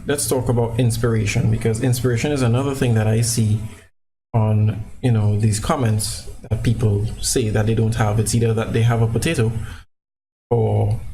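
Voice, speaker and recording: A man's voice: fairly low pitch, warm tone, low-quality recording. A man with a Trinidadian accent giving casual, rambling commentary over gameplay, full of fillers and restarts, recorded on a cheap microphone at home. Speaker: gaming channel creator. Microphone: cheap low-quality microphone.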